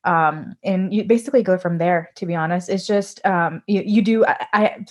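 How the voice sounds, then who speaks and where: A woman's voice: lowish voice, normal speed. A woman surgical resident speaking earnestly on a panel, chatty and full of fillers and restarts. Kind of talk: panel discussion.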